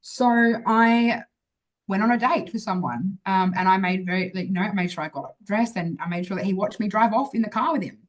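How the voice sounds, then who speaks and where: A woman's voice: medium pitch, a little fast, low-quality recording. An Australian woman telling a personal story as an interview guest in a casual, chatty, rambling way with many filler words.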